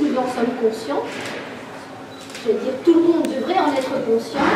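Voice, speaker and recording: A woman local official giving a formal inauguration speech in an emphatic way, repeating key words. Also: high voice. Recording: echoey pool hall, distant mic, noisy.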